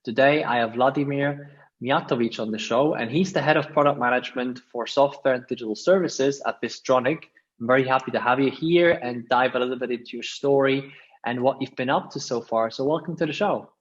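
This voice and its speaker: A man's voice: medium pitch, a little fast, highs cut off. A man hosting a podcast interview, welcoming his guest and asking questions with plenty of fillers. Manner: friendly, uses fillers like "you know". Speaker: podcast host.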